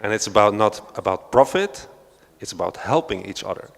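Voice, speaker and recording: A man's voice: on the low side, expressive, normal speed, gravelly, fairly bright tone. A man from Belgium speaking on a panel at an art centre, unscripted and hesitant, with many fillers and restarts. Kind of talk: unscripted talk at a panel discussion. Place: large hall of an art centre. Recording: long hall reverb.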